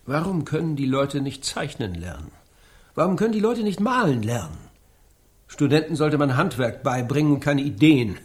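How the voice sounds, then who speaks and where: A man playing a conservative art critic in a radio play, with a dismissive, patronising and deliberate manner. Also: fairly high pitch, sing-song. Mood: exasperated. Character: male art critic, conservative, scornful and condescending. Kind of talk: radio play panel discussion.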